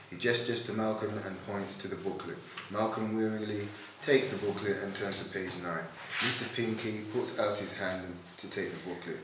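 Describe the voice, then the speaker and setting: A man reading stage directions as narrator in scripted narration at a table read. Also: lowish voice. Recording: very echoey room, distant mic, noisy.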